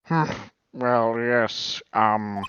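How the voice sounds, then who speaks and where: Guy doing a pompous flustered lawyer from a video game, mid pitch, slow stumbly delivery. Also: flat delivery, dull audio, noisy background. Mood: stiff, awkward. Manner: halting, stiffly pompous. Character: proud male prosecutor, formal but flustered. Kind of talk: game fan dub acting.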